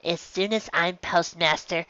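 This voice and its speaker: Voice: mid-range voice, steady tone, unhurried, pushed and bright, clear, resonant tone, dry recording, dull audio, pitched above the performer's own voice. A reader voicing a weary, caring female pegasus mail carrier in a storybook, with a dry, grumbling, questioning manner.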